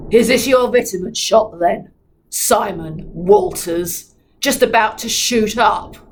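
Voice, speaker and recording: Hard-edged male spy read by a woman, deliberate, forceful, taunting interrogator in a thriller story. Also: fairly high pitch, very animated, vocal fry, a little hiss or hum, heavily acted, poor audio. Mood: furious, taunting. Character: tough, menacing male British spy interrogating a suspect. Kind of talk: dramatic novel reading.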